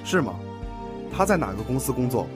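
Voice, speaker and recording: A man playing a composed police detective in a radio drama, questioning in a measured, formal way. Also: medium pitch, very animated, natural voice. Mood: calm, probing. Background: music.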